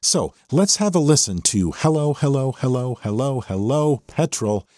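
A man's voice: mid-range voice, lively intonation, normal speed, darkish tone, slight background noise. An American man hosting a music podcast, talking through a song with enthusiasm. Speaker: podcast host. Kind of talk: music appreciation podcast commentary.

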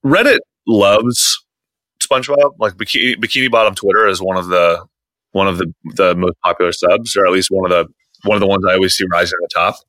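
A man's voice: low-pitched, brisk, a bit bright, no echo, rough recording. A man hosting a casual podcast chat, rambling with frequent restarts and fillers. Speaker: podcast host. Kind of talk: casual chat about internet posts.

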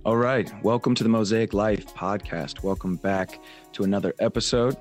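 A man co-hosting a podcast in easygoing casual chat, hoarse and losing his voice. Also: lowish voice, normal speed, soft background music. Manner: easygoing, relaxed, chatty. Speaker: podcast co-host.

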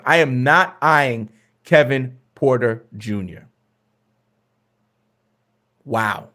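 Chatty man, slightly hoarse. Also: fairly high pitch, a bit bright. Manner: chatty, talks to viewers, stammers and repeats himself. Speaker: fantasy basketball host. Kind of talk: casual mock draft commentary.

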